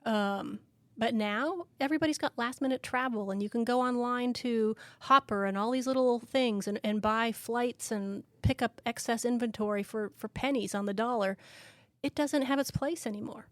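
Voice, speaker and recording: A woman's voice: on the high side, moderate pace, dry recording. Chatty Canadian-raised woman, laughing. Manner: chatty, animated storyteller who laughs often. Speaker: podcast co-host. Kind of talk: casual chat.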